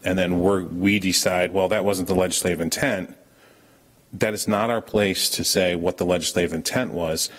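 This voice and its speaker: Deep-voiced man explaining at a meeting. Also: steady tone, normal speed. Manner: firm, explanatory, with frequent restarts. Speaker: town official presenting an ordinance. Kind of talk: public meeting discussion. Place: town meeting room, indoors.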